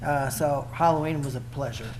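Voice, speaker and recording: A man speaking as city commissioner in a plain, halting way. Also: a little high, darkish tone. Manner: plain, halting, many uh fillers. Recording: noisy meeting room recording.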